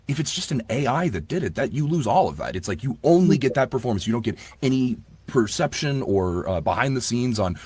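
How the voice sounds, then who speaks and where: A man's voice: medium pitch, big pitch swings, a little fast, few pauses, darkish tone, husky, no echo. A man speaking casually and earnestly as an audiobook performer in an interview, with plenty of "like" and "you know".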